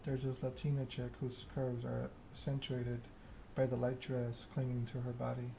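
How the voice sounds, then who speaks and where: A man's voice: mid-range voice, monotone, moderate pace, gravelly, dark tone. Man narrating with little inflection, noisy recording. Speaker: video game streamer reading game text aloud. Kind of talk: ASMR narration, reading a story aloud. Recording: noticeable background noise.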